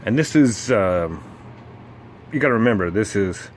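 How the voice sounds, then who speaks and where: A man giving a casual, unscripted technical walkthrough, halting and thinking aloud as he explains. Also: on the low side, lively intonation, slight background noise. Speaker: presenter explaining vintage broadcast gear.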